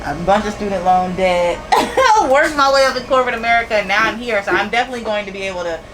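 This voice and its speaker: A woman's voice: medium pitch, lively intonation, fast talker, noisy background. A woman in her thirties, a business owner talking candidly in a casual interview, often repeating phrases and laughing easily. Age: 30s. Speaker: store owner interviewed as guest.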